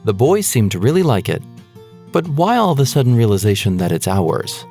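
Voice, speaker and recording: A man's voice: medium pitch, very animated, brisk, warm tone, faint music behind. A man playing a practical, dismissive husband and father in a haunted-house audio drama. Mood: doubtful.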